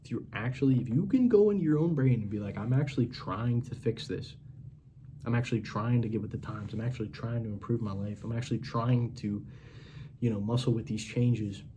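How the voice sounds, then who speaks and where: A man's voice: medium pitch, a little fast, background noise. A man in his twenties giving a blunt, profane, rambling pep talk as a casual monologue. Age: 20s. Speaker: vlogger.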